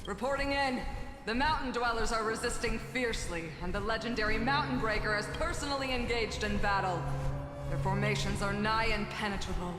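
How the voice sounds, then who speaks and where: A woman playing a dutiful, skeptical army officer in a game cutscene, brisk and formal, in echoing reverb with music. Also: a little high, fairly even intonation, natural voice. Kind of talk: scripted game cutscene acting. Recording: echoey hall reverb under loud music.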